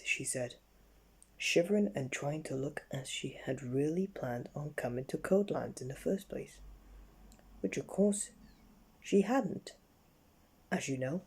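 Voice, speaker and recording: Husky, mellow bedtime story reader. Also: mid-range voice. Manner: halting reading with slips.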